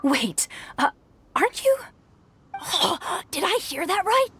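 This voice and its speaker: Flustered, starstruck registrar. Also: female voice, very high-pitched, slow, heavily acted. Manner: polite, hesitant, easily excited. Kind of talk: video game voice acting.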